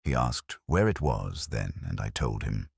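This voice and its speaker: An extremely low male voice of an actor plays a brooding, intellectual detective telling his own mystery story. The delivery is measured and literary, with pitch that moves expressively through each phrase. The sound is close and dry, with a reflective, melancholy temper suited to audio drama. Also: sing-song, vocal fry, slightly rough, dry recording, exaggerated voice. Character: melancholy, intellectual English police detective recounting a case. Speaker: narrator. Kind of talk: scripted first-person detective story narration.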